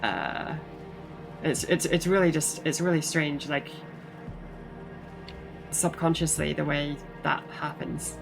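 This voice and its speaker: A woman's voice: deep voice, steady tone, takes it slowly, vocal fry, long pauses, over music, poor audio. Rambling woman with a shifting British accent. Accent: shifting mix of English, Scottish and American. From Britain. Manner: rambling, with many repeated words and restarts. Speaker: game streamer. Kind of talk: casual chat while playing a game.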